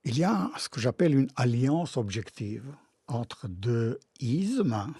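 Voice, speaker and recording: A man with a foreign accent explains his theory as a guest in a radio interview. His voice is rough-edged and swings widely in pitch, recorded dry and close, with emphatic, halting phrasing. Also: sing-song, mellow, no room sound. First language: not French. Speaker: guest philosopher and author. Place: radio studio.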